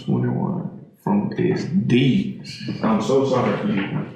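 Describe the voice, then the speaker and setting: A man's voice: on the low side, very animated, slow pace, dull audio, over a noisy crowd. Slangy male host in a very echoey room. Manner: slangy, teasing. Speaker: panel host and moderator. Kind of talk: casual group discussion. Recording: very echoey room, clear noise.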